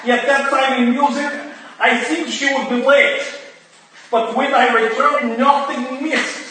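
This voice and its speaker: Woman acting a high-voiced distraught Russian ballet woman. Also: steady tone, fairly bright tone. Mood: nervous. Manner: halting, clipped grammar, emphatic. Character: distraught Russian ballet woman under questioning, pleading innocence. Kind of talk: staged reading of period mystery drama. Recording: echoey room from far off, faint noise.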